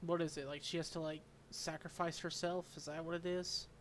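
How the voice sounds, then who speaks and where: A man's voice: fairly high pitch, little pitch movement, a little fast, slight background noise. A man giving casual, off-the-cuff reaction commentary over gameplay as a streamer. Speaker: game streamer.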